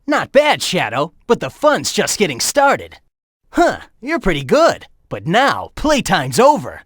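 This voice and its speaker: Brash game hedgehog hero, teasing and coaching his rival, animated delivery, dry close booth sound. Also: high voice, measured pace, no room sound, full character voice. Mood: cocky teasing. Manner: cocky, teasing banter; urgent warnings and coaching. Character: cocky young male hedgehog hero, fast and teasing. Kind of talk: video game voice acting.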